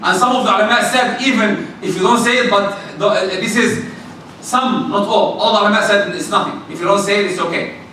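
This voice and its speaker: A man with a loud voice preaching a lively, emphatic sermon in a mosque. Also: sits high, a little fast. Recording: echoey hall from far off, faint noise.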